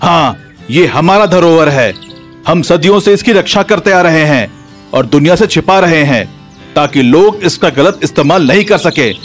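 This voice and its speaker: A man's voice: fairly high pitch, expressive, moderate pace, soft background music, natural voice. A man voicing a proud, defiant tribesman guarding something sacred, speaking in a stern, declamatory way.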